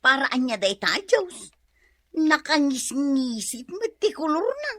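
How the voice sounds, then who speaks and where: A woman playing a curious, skeptical character in an Ilocano radio comedy, questioning in an animated way with an Ilocano accent. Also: high-pitched, measured pace, dry recording, full character voice.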